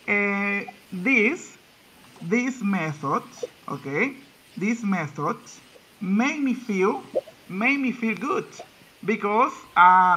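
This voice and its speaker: A man's voice: high-pitched, bright, forceful tone, low-quality recording. A man, teaching English on a video call and repeating his phrases as he coaxes. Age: 20s. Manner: repeats his own phrases, coaxing and encouraging. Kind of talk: online English conversation class. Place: small room indoors. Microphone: laptop webcam on video call.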